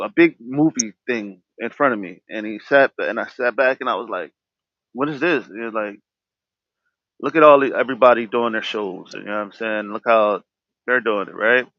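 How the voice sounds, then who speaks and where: A man's voice: medium pitch, no room sound. A man hosting his own podcast, speaking candidly about himself with a stutter, restarting his words often. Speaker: podcast host. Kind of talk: candid personal talk. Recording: dull, lo-fi dry sound.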